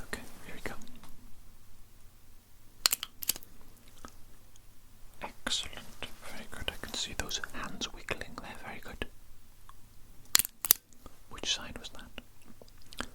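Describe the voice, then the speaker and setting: A man's voice: natural voice, low-quality recording. Man acting as evil mad scientist, whispered, condescending, menacing, pompous, close-miked ASMR roleplay. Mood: pompous, controlling. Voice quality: whispered, unvoiced. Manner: deliberate, repetitive, pompous. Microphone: close ASMR microphone.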